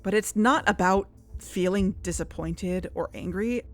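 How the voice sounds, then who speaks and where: A woman in scripted audio drama dialogue, earnest and worried, sometimes rushing her words. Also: mid-range voice. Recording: music bed underneath.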